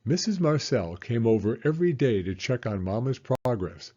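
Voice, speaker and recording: A man reading a children's story aloud as an animated narrator. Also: medium pitch, moderate pace, dull audio.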